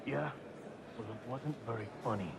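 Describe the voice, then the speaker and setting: An actor playing a gruff, skeptical convict in a video game, talking bluntly and colloquially. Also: male voice, medium pitch, moderate pace, heavy background noise, natural voice. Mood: quietly threatening. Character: gruff, skeptical but sentimental male convict. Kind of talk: video game cutscene acting.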